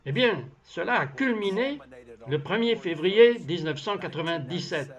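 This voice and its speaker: A man reading a dubbed voice-over of a personal testimony in an earnest, measured manner. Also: high-pitched, lively intonation. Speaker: voice-over translator.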